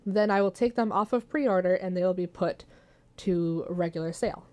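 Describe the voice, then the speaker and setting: A woman's voice: lowish voice, moderate pace, a little mellow. A woman chatting casually through a hands-on craft tutorial as its host, playful and jokey, with folksy made-up words. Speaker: soap maker hosting a craft tutorial.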